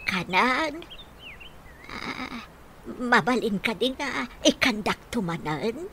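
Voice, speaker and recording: A woman's voice: fairly high pitch, expressive, slow pace, fairly bright tone, a little hiss or hum. An actress playing a confused, forgetful old grandmother in a radio drama, hesitant and questioning. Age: older. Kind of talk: Ilocano radio drama acting.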